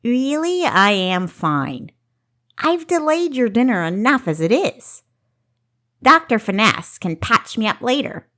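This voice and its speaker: A woman's voice: on the high side, big pitch swings, unhurried, a bit bright, pitched above the performer's own voice. Woman acting a guarded, stubborn young thief. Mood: insistent.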